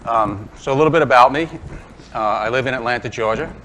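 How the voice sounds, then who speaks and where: A man's voice: mid-range voice, fast, a bit bright, a little hiss or hum, poor audio. A man in his sixties from Brooklyn with a New York accent, giving a chatty, self-deprecating woodturning demonstration talk to a club audience, full of ums and uhs. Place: workshop or club room with an audience.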